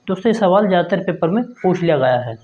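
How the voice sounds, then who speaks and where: A man's voice: high-pitched, lively intonation, fast, a little mellow. A man teaching an exam prep lesson, reading quiz questions and answer options aloud and often addressing his viewers as friends. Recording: dull lo-fi sound.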